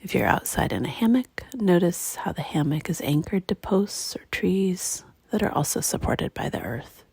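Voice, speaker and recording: Woman, meditation guide, hushed and breathy, gentle slow phrasing, creaky edges, very dry close sound. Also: lowish voice, very animated, no room sound, whispered.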